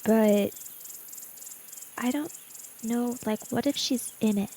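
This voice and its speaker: High-pitched woman, unhurried game player. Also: mellow, slight background noise. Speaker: player in a tabletop roleplaying game. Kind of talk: casual in-character table chat.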